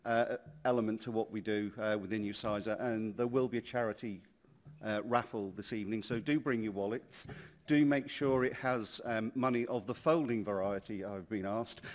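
A man chairing a conference session, introducing speakers in a genial, chatty way with many ums, at a lectern in a large hall. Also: medium pitch.

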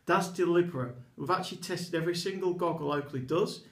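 A man's voice: on the high side, fairly quick, a bit bright. A man in his thirties from England, an eyewear retailer talking enthusiastically to camera across a desk while he demonstrates products. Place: small indoor office with a poster behind a desk. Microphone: camera in front at desk distance. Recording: echoey small room.

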